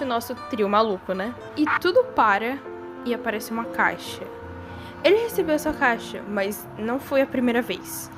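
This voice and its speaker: A young adult woman with a Brazilian accent and a clearly high voice talks through horror theories. Her delivery is informal and conversational, like a casual commentary voice-over. Music plays clearly underneath her. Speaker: video creator. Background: background music.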